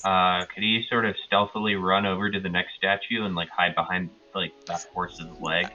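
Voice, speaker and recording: Low, sharp-edged man thinking aloud. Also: little pitch movement, moderate pace. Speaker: tabletop roleplaying player. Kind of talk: casual game talk. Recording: dull sound with music underneath. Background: music.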